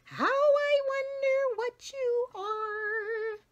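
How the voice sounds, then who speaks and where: A woman voicing a kindly, gushing music teacher in a storybook, with a theatrical, drawn-out delivery. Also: very high voice, highs cut off, voice pushed up, heavily acted. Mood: dreamy, singing.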